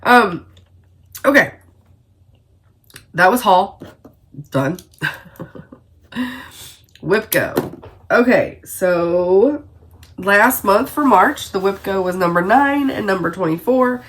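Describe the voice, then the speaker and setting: A woman's voice: mid-range voice, fairly bright tone. A woman with a stuffy head cold, chatting casually and rambling, with frequent little laughs, as a cross-stitch vlogger. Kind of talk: casual chat to camera.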